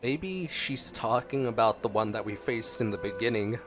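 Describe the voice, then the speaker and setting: A man's voice: on the low side, animated, normal speed. A man giving casual reaction commentary as a game streamer, musing aloud and exclaiming. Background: game music.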